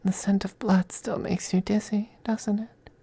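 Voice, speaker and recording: A man playing a possessive, teasing femboy vampire in an intimate ASMR roleplay, speaking softly and breathily, often in a whisper, with coaxing, condescending affection. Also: high-pitched, flat delivery, a little slow, gravelly, breathy edge, dry recording, full character voice. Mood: menacing, wistful. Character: possessive, teasing, doting femboy vampire master styled as mistress.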